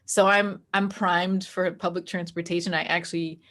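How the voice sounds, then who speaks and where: Lower-pitched American woman, informal. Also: level tone, normal speed, fairly bright tone. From New Jersey, United States. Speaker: interview guest, actor and game master. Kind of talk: casual chat.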